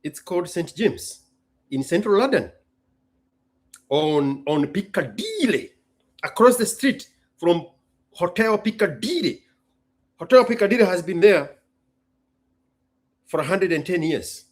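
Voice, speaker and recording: A man's voice: high voice, very animated, a little slow, long pauses. A man from Kenya hosting a casual talk, speaking emphatically in short, punchy sentences and repeating his phrases. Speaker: host. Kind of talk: casual solo talk to an audience.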